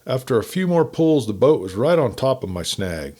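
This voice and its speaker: A man's voice: medium pitch, big pitch swings, moderate pace, a little mellow. A man reading a folksy first-person story in a campfire-tale narration style.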